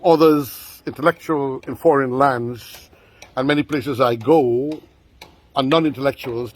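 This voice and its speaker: Grandiloquent older man, husky voice. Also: mid-range voice. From Guyana. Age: 60s. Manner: grandiloquent, ornate word choice, repeats for emphasis. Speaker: online show host. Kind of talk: monologue to viewers.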